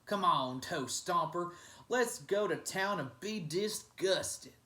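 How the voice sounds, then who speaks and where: A man's voice: high-pitched, lively intonation, slow, voice pushed up, exaggerated voice. A man voicing a rude, blustering troublemaker in a children's storybook. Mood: rowdy goading. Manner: loud, blustering. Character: rowdy, rude, mischief-making ruffian pals.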